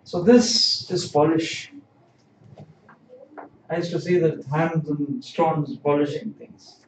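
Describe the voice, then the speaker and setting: A man's voice: on the high side, fairly even intonation, slow, a little hiss or hum. A man teaching kanji in a livestream lesson, explaining patiently and repeating key words. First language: not Japanese. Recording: distant, roomy, dull lo-fi stream audio.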